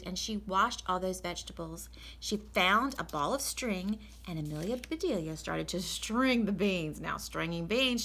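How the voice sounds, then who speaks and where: A woman reading a children's story aloud as a teacher, pausing to explain things in an encouraging way. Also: mid-range voice, a bit bright. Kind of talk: animated read-aloud with explanatory asides.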